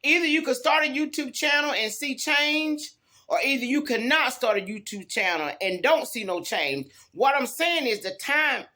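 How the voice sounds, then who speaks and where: A woman's voice: high-pitched, animated, moderate pace, pushed and bright. A tired woman gives a casual, emphatic pep talk to viewers, folksy and fond of repeating her phrases. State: tired after work. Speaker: YouTube vlogger.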